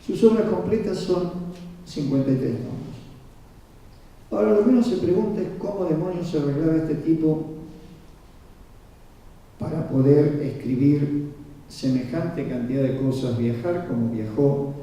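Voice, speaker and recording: A man's voice: fairly high pitch, measured pace, pauses often, warm tone. Muffled man lecturing in an echoing hall. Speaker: lecturer at a conference. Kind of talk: formal lecture on history, read from notes with asides. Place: large reverberant conference room. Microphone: distant microphone. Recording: very echoey hall, muffled, far from mic.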